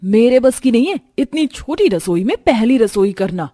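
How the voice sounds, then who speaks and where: A woman's voice: fairly high pitch, big pitch swings, moderate pace, warm tone, no echo, studio quality. A woman playing a haughty, spoiled rich young daughter-in-law in a cartoon story, boastful and condescending. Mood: petulant.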